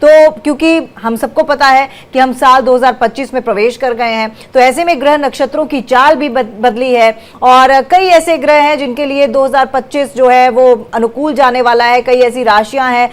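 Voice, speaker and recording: A woman anchors a live astrology call-in program from a TV studio. She talks briskly and lays out each step for viewers, now and then breaking into a cough. Her voice sits fairly high. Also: steady tone, clean tone. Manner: brisk, repeats points for viewers. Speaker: news channel anchor. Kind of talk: live TV call-in show hosting.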